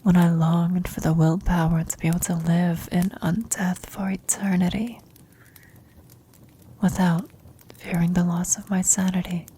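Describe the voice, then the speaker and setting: A woman playing a tender, serene elderly former adventurer on her deathbed in an ASMR roleplay, speaking in a reflective, breathy whisper. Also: on the low side, dark tone, slight background noise. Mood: wistful, serene.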